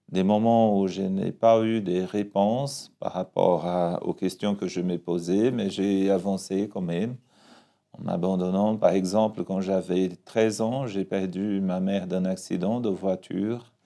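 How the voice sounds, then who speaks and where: A man from Brazil, a parish priest speaking French with a Brazilian Portuguese accent, giving a calm, reflective personal testimony in an interview, often restarting his phrases. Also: low voice, gravelly.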